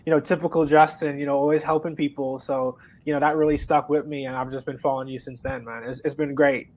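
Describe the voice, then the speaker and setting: A man's voice: medium pitch, steady tone, quick pace, a little mellow. A young adult man from the Bahamas, a guest investor telling his story in an easygoing way with frequent fillers. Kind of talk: casual interview talk.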